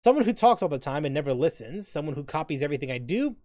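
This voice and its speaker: Higher-voiced man chatting casually on stream. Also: sing-song, quick pace, mellow, dry recording, studio quality. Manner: informal, rambling, mildly profane. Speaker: gaming streamer. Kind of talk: casual stream chat, reading quiz questions aloud and reacting.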